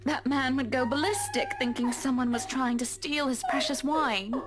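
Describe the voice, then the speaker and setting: A woman's voice: high voice, normal speed, music in the background, lightly acted. A woman voicing an imperious, proper lady of the manor in a visual novel game. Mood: commanding. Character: haughty British lady of the manor.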